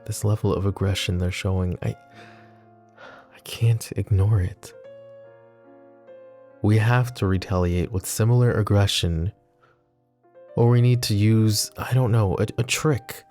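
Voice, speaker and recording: A man playing a possessive, flirty mafia boss in an intimate ASMR roleplay, murmuring and teasing the listener with unhurried pauses. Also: lowish voice, steady tone, darkish tone, faint music behind, natural voice. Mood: grave, protective.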